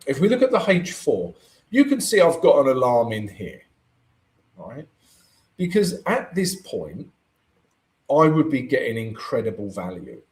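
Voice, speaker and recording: Croaky-voiced man streaming live trades. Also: mid-range voice, darkish tone. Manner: chatty, keeps asking "right?" to the audience; reads out and answers viewer comments. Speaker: forex trading streamer. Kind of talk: live trading stream with chat Q&A.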